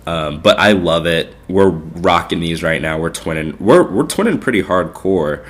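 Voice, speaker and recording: Deep-voiced, stuffed-up young man chatting. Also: animated, normal speed. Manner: rambling, filler-heavy, laid-back. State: congested, stuffed-up nose. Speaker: podcast co-host. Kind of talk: casual chat.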